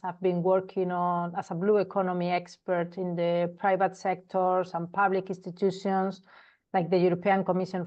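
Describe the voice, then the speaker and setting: A woman with a Spanish accent, a webinar guest giving a measured, formal self-introduction. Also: mid-range voice, darkish tone, muffled recording. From Spain. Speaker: webinar guest, job platform founder.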